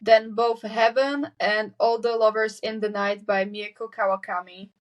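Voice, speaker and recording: A woman's voice: medium pitch, fairly even intonation, low-quality recording. A seventeen-year-old Slovak girl, speaking English as a second language, answering interview questions candidly and correcting herself as she goes. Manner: candid, self-correcting, quick nervous laughs. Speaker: guest. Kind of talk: casual interview chat.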